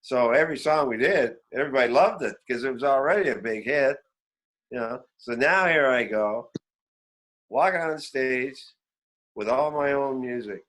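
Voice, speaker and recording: A Canadian man in his seventies telling rambling, animated stories in a casual, reminiscing way as an interview guest. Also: medium pitch, moderate pace. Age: 70s. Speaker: veteran musician, interview guest. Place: remote online call.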